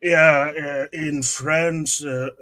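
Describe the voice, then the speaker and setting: A man's voice: a little high, extremely slow. A man who grew up in Germany, chatting casually on a podcast and telling stories with voices for the people he quotes. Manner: animated storyteller who acts out quotes. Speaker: podcast panelist. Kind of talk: casual chat.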